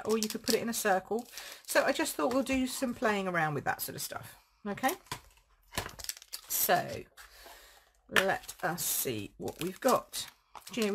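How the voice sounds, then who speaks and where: Chatty croaky crafter. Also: female voice, mid-range voice, background noise. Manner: chatty, rambling, thinks aloud while crafting. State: croaky throat. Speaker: card-making demonstrator. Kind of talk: casual live craft demo.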